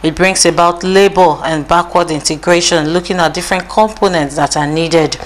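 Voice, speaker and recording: Woman narrator, lowish voice, smooth scripted promo read. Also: fairly even intonation, moderate pace. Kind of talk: scripted corporate voice-over.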